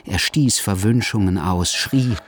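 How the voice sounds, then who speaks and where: A man narrating a literary radio drama in a measured, storytelling manner. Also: fairly low pitch, lightly acted.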